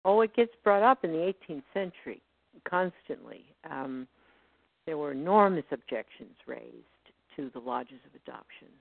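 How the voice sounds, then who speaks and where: Woman professor, gravelly voice, explains history calmly, tinny phone line, dead dry sound. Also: low-pitched, no echo. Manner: measured, scholarly explaining. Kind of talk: online radio interview.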